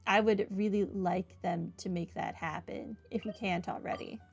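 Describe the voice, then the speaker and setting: A woman's voice: mid-range voice, steady tone, normal speed, creaky. A woman hosting a gaming playthrough, chatting casually with wry asides and reading prompts aloud. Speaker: gaming video host. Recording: game music behind the voice. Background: video game music.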